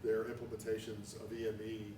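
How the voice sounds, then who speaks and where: A man in the audience asking a technical question without a microphone, speaking loudly and haltingly. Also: lowish voice, monotone. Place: meetup room, in the audience. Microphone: no microphone, far from the mic. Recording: distant, roomy, with room noise.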